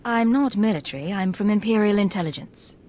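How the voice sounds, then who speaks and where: A woman's voice: medium pitch, a little fast, darkish tone, close to an everyday voice. An actress playing a self-assured female imperial agent in a video game, controlled and wry. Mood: cool, firm.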